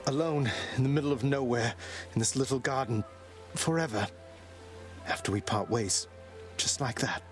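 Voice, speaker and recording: A man's voice: medium pitch, moderate pace, faint music behind, natural voice. A man voicing a young, earnest, sardonic prince of the underworld in a video game. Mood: hurt, pleading.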